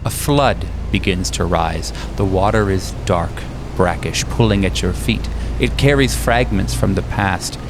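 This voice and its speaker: A man narrating a horror story as game master, in a deliberate, ominous manner. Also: low-pitched, background noise. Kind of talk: scripted horror narration in second person.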